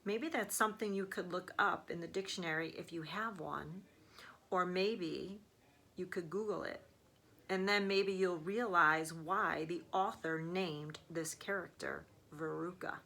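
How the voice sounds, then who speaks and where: Bright-voiced woman reading a children's story. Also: lowish voice, unhurried, creaky. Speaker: teacher reading aloud to third graders. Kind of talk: children's story read-aloud.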